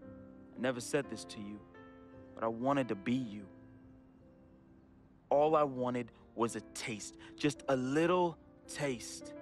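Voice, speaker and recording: A man's voice: medium pitch, natural voice. An actor playing a troubled young man in a game, reading a confessional farewell letter as a heartfelt voice-over with casual slang. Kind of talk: emotional voice-over monologue. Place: studio voice-over.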